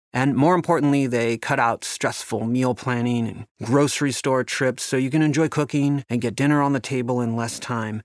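A man's voice: mid-range voice, no room sound. Man reading a podcast sponsor spot, very dry. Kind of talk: conversational scripted ad read.

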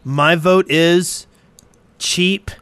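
A man's voice: on the high side, steady tone, extremely slow, fairly bright tone, clear, resonant tone, dry recording. A man co-hosting a podcast in casual group chat, with dry, wry humor. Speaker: podcast co-host.

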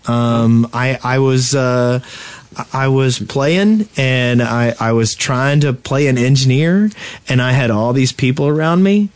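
A man's mid-pitched voice in casual podcast chat, recorded dry and close. He talks as a co-host, recounting things with exasperation and quoting people as he goes. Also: dry recording.

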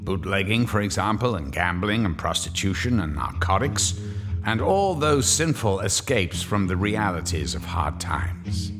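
A man narrates a radio drama with a low, expressive voice that rises and falls a lot. His cadence is wry and deliberate. Music plays clearly under him.

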